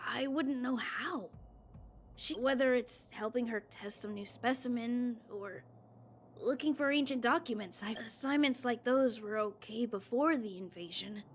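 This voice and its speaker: A woman's voice: a little high, a little hiss or hum, close to an everyday voice. A woman playing a timid, dutiful young male servant in a video game, speaking hesitantly and deferentially with frequent stammers.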